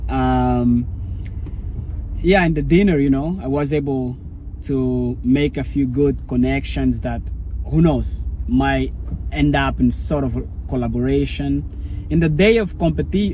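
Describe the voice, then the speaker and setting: Portuguese-native guy vlogging from a car, slow rambly talk, clear traffic noise behind. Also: mid-range voice, mellow, rough recording. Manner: rambling, searching for words. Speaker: startup founder. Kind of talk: casual vlog storytelling.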